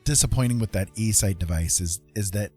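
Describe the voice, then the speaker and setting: A man's voice: on the low side, a little fast, husky. A man hosting a livestream, chatting casually and telling personal stories in a rambling, informal way. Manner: rambling, informal, frequent "like" and "you know". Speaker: livestream host. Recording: soft music behind the voice.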